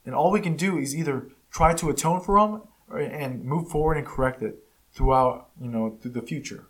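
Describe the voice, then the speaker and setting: A man's voice: fairly high pitch, moderate pace, low-quality recording. A man whose first language is Spanish, hosting a podcast and giving a blunt, emphatic opinion monologue full of restarts and self-corrections. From United States. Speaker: podcast host. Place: California.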